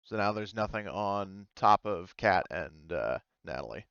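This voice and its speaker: A man with a low, clean voice acts as game master for a tabletop game. He builds the scene haltingly, in hesitant pieces. The sound is dry and a little dull, as on a voice call. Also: lively intonation, vocal fry, fairly bright tone, clean tone, no room sound. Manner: halting, hesitant, pieces sentences together gradually. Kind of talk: improvised tabletop game narration. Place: online voice call.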